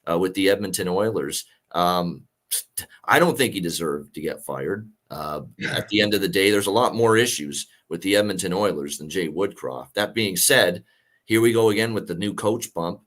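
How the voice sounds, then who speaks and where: A man with a gravelly voice worn from a night of singing, hosting a sports betting show in casual, rambling talk. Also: low voice, moderate pace, fairly bright tone.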